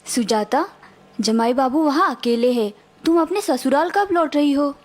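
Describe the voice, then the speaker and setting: A woman voicing an older village mother who gives calm, patient advice to her daughter. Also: high voice, moderate pace, lightly acted. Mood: concerned.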